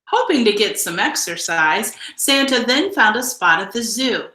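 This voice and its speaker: Bright-voiced woman reading a storybook, echoey room. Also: a little high, lively intonation. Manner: measured, deliberate storytelling delivery with emphasized phrases. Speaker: storybook reader. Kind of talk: expressive read-aloud of a children's picture book.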